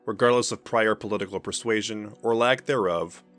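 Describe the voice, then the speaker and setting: Low-voiced man narrating over music. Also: a bit bright. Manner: formal, measured delivery. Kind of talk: scripted narration of a fictional scientific report.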